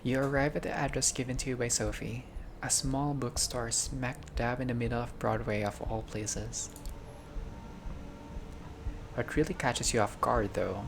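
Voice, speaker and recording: A video game player reading a visual novel aloud as narration, with casual personal asides and expressive delivery. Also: mid-range voice, vocal fry, noisy background. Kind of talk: playthrough narration with casual reactions. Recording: music behind the voice. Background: game music.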